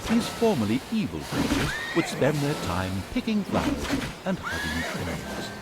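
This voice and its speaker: Theatrical male game narrator, swooping pitch. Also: huge pitch swings, measured pace. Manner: theatrical, wry, mock-grandiose. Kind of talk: scripted comedic fantasy narration. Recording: music behind the voice. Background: music.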